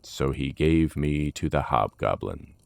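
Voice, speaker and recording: A man voicing a grim horned brute warrior who speaks in terse, solemn, deliberate words in a fantasy story. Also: a bass voice, lively intonation, vocal fry, no echo, heavily acted. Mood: solemn, resigned.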